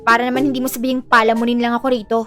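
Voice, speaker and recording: A woman's voice: a little high, little pitch movement, racing, music in the background, natural voice. A woman playing a cheeky, teasing young student sister in an audio drama. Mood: sassy, proud. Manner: teasing, sassy. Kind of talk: Filipino audio drama acting.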